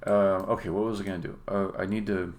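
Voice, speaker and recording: A man giving a casual live-stream demo as a game developer, halting and muttering to himself as he works. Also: sits low, level tone. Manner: hesitant, halting, muttering to himself while working. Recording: noisy room, keyboard and mouse clicks.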